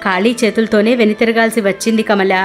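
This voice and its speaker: A woman's voice: medium pitch, level tone, quick pace, soft background music. A woman storyteller giving scripted narration for a cartoon moral tale.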